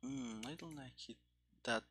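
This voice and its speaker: A game developer thinking aloud while programming, speaking casually and haltingly, as if muttering to themself. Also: mid-range voice, fairly bright tone, husky. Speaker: game developer streaming their coding. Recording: keyboard clicks and faint background noise. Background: typing and mouse clicks.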